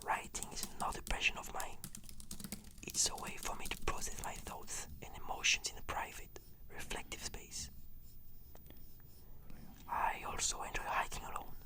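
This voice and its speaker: Whispering ASMR voice, measured and reflective. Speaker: roleplay patient answering questions. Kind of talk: whispered ASMR, scripted answers.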